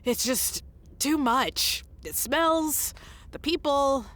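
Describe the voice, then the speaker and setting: A woman's voice: sits high, expressive, drawn-out words, a bit bright, exaggerated voice. An actress playing a flustered, exasperated woman boss and radio interviewer in a comedy audio drama, hesitant and apologetic. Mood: weary, hesitant.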